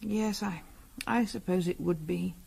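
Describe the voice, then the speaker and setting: A woman's voice: fairly low pitch, a little slow, creaky, darkish tone, a little hiss or hum. An actress playing an anxious English middle-class wife in a 1970s radio drama, earnest and hesitant as she defends her friend. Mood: earnest, conceding.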